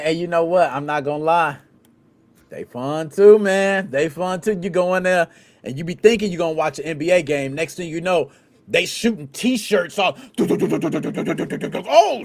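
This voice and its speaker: This is a man from the States hosting a relaxed podcast chat. His voice sits a bit high and moves all over the place as he tells stories. He talks in loose slang and acts out what people said. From United States. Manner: animated, slangy, laughs and acts out scenes. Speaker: podcast host.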